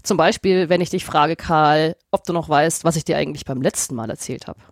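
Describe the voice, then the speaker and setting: A woman co-hosting a podcast in casual chat, sounding a bit off from a cold but enthusiastic and quick to laugh. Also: sits low, no room sound, clean studio sound. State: has a cold. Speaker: podcast co-host, astrophysicist.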